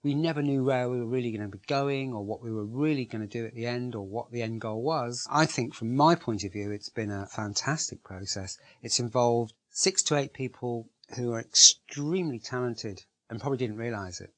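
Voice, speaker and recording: Male organiser, mid-pitched, earnest, emphatic testimonial delivery. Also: normal speed, hardly any pauses. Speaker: project organiser. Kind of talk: interview testimonial.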